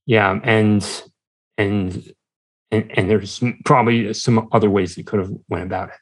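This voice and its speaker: Halting, lower-voiced man as podcast guest. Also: fairly even intonation. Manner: hesitant, halting, searching for words. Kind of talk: casual conversational interview.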